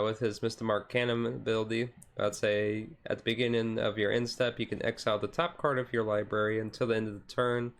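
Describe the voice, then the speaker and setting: A man giving a casual, relaxed walkthrough in an ASMR-style stream, talking haltingly with frequent pauses mid-sentence. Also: on the low side, clean tone. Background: background music.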